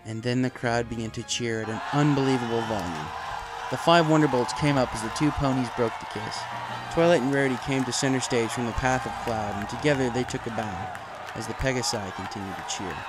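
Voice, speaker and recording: A man reading a story aloud as dramatic scripted narration. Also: medium pitch. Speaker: story reader. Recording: music playing behind the voice. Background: music.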